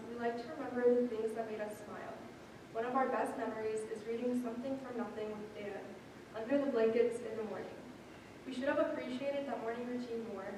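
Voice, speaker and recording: A young woman reading a prepared eulogy as a granddaughter at a memorial service. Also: fairly high pitch. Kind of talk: prepared memorial speech, read aloud. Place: large reverberant hall at a memorial service. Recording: echoey hall, distant mic, noisy.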